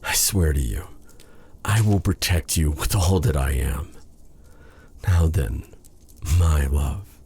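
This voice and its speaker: A man playing a lovestruck, self-doubting exiled dark sorcerer in an intimate fantasy audio roleplay, breathy, halting and formal in his phrasing. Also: a bass voice, full character voice. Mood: devoted, tender. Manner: flustered, stammering, halting, formal old-fashioned phrasing.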